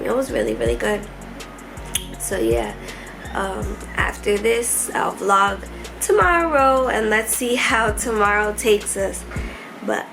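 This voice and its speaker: A young woman talks in a relaxed, candid way from a hospital room, laughing easily. Her mid-pitched voice carries a lot of vocal fry, and she sounds tired and slightly stuffy. Music plays clearly in the background. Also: low-quality recording. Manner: chatty, candid, laughs often. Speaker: vlogger. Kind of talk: casual hospital vlog.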